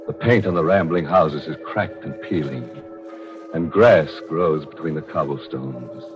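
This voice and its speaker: A man hosting and narrating an old radio mystery show in a theatrical, tongue-in-cheek macabre manner. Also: extremely low pitch. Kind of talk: 1940s radio horror hosting, scripted narration. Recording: dull lo-fi 1940s radio recording. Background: music.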